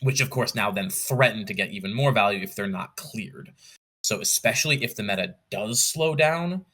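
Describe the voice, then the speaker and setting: A man with a fairly low but bright, forward voice. He chats casually as a podcast guest, weighing his points in a measured, analytical way and thinking aloud. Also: lively intonation, breathy edge. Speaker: podcast guest, competitive card game player. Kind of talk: casual interview chat.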